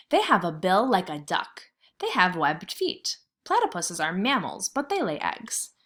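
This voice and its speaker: A woman's voice: high-pitched, a bit bright. A woman kindergarten teacher leading a read-aloud lesson, speaking in simple words and putting questions to her young pupils.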